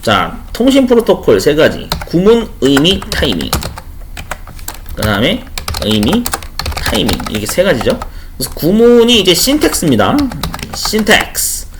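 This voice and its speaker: A man's voice: a little high, wildly swooping pitch, measured pace, background noise. A man teaching exam questions in an informal lecture style, chatty, repeating key terms and explaining with everyday examples. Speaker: online instructor for a certification exam.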